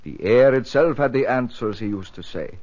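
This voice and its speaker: An actor playing an inventor's devoted, emotional brother in dramatic 1950s radio style. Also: male voice, medium pitch, lively intonation, moderate pace, darkish tone, natural voice. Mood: grieving. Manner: dramatic, fervent. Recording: muffled old radio transcription.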